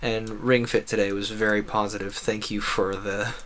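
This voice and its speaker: A man's voice: on the low side, little pitch movement, moderate pace, fairly bright tone, slight background noise. A man livestreaming a video game, chatting casually and musing at length in a talkative way. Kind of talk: casual rambling livestream chat over gameplay.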